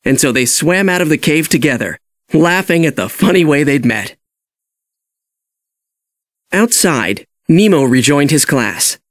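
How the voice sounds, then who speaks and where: A man reading a children's story as an expressive, scripted read-along narration. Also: on the high side, a little slow, long pauses, no room sound, clean studio sound. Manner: animated storytelling.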